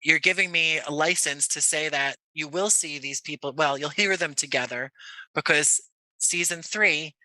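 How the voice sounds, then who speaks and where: An American man talking conversationally as an interview guest, choosing his words in an articulate, measured way. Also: a little high, pushed and bright, no room sound, low-quality recording. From Jersey City, United States. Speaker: podcast guest, audio play creator.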